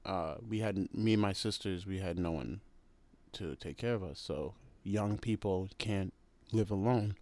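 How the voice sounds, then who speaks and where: A man's voice: deep voice, unhurried, a little mellow, dry recording. A young American man talking casually as a podcast guest, with many fillers like "like" and "you know". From United States. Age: young adult. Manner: laid-back, heavy use of "like" and "you know". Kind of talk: casual conversation.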